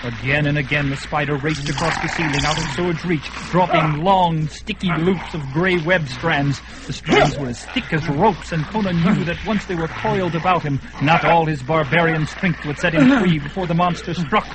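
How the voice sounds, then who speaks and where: A man's voice: on the high side, moderate pace, hardly any pauses, heavy background noise. A man narrating a fantasy radio drama with dramatic, swelling delivery. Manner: dramatic, swelling at peaks, hushed in quiet parts.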